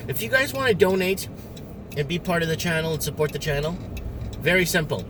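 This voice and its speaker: A man with a fairly high voice talks casually to his viewers in a loose, rambling vlog monologue. He is opinionated and emphatic, circling back and repeating himself. Clear vehicle and road noise runs behind him. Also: lively intonation, brisk. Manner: emphatic, opinionated, repetitive, digressive. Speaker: vlogger. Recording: noisy outdoor roadside with vehicle noise.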